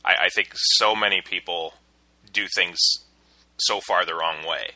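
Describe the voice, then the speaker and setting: A man's voice: deep voice, fairly even intonation, unhurried, bright, forceful tone, no echo. A man hosting a podcast interview, earnest and thoughtful, often restarting his phrases. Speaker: podcast host.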